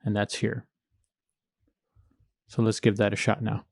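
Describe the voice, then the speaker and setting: A man's voice: fairly low pitch, completely flat delivery, unhurried, creaky, darkish tone, no echo, clean studio sound. A man narrating a step-by-step coding tutorial, informally thinking aloud as he works. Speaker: programming tutorial instructor.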